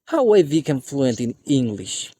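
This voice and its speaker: Male English teacher from Bangladesh, Bengali accent, unhurried and mellow, keeps calling viewers dear friends. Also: medium pitch, sing-song, slightly rough. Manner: repeated "my dear friends" addresses. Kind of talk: scripted English lesson to camera.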